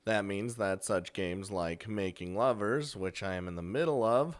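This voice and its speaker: A man's voice: lowish voice, moderate pace. A man hosting a casual, unscripted countdown of games, chatty and crude, swearing freely. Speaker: video host and game reviewer.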